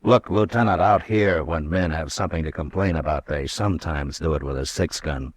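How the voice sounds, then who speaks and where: A man playing a calm, steady frontier marshal in a 1950s radio drama, measured and firm. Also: a bass voice, no room sound, studio quality.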